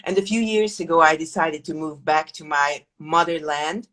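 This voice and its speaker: A woman's voice: low voice, moderate pace, a bit bright. A woman from Hungary, a guest in a casual interview, talking with many hesitant fillers and restarts. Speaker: guest, food tour company founder.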